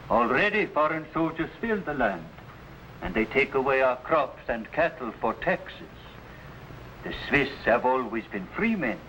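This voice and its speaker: A proud Swiss bowman and father in a vintage adventure serial. His mid-pitched voice is solemn and resolute, with archaic formal phrasing. Light noise sits on the old recording. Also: sharp tone. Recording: noisy vintage broadcast recording.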